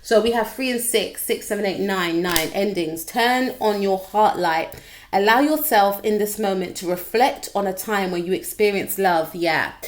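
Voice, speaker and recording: Young woman tarot reader, croaky, talkative, middle pitch, direct address to viewer. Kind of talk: casual tarot reading to camera.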